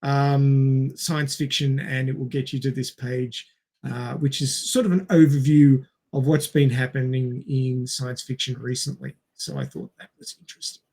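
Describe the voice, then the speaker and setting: A man co-hosting a podcast in a casual, halting way with many pauses. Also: medium pitch, fairly even intonation, warm tone, slightly rough. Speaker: podcast co-host.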